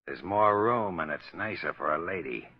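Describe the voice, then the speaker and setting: A deep-voiced, menacing thug of a barman in a 1940s radio play. His slangy, curt talk swings widely in pitch and comes out husky. The old recording is muffled and lo-fi. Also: lively intonation, moderate pace. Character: gruff, bullying tough dive barman, a thug.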